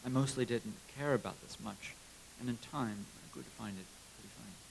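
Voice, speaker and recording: A man's voice: mid-range voice, brisk. A man working as an interpreter, reading a prepared translated speech aloud to an audience. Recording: clear background hiss and noise.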